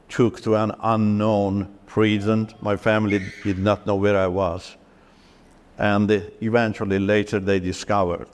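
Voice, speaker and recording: A middle-aged man from Iran, a Turkish speaker, giving an earnest personal faith testimony in halting, broken-up phrases. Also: fairly low pitch. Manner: earnest, halting, breaks up sentences with pauses and restarts. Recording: long hall reverb.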